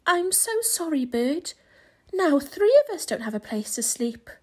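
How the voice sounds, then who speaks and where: A woman voicing an eager, expressive rabbit who loves his carrots, in a children's storybook. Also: sits high, measured pace, voice pushed up. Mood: apologetic, grateful.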